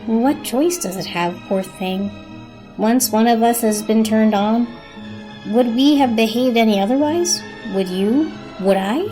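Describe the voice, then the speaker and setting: A woman's voice: on the high side, measured pace, mellow, faint music behind, close to an everyday voice, low-quality recording. A woman voicing an earnest, anxious little toaster with firm principles in a dramatic storybook reading. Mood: gentle reproach. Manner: insistent, morally earnest.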